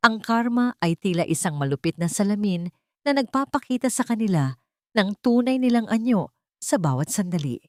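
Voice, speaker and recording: A woman narrator reading a scripted audio drama in a sentimental, measured, dramatic way. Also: mid-range voice, lively intonation, no room sound, studio quality. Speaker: storyteller narrator.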